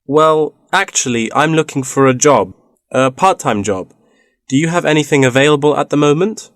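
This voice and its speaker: A man's voice: fairly high pitch, lively intonation, normal speed, dry recording, natural voice. Man playing a polite student, dry recording. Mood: polite, inquiring. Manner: polite, inquiring. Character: polite male university student seeking part-time work. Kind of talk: scripted language-test dialogue.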